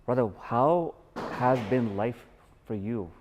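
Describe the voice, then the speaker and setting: A man's voice: medium pitch, animated, takes it slowly, a little mellow, a little hiss or hum. A man from Pakistan hosting a podcast in a guest's house, opening with formal showman greetings and asking earnest questions. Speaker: podcast host. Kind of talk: podcast interview.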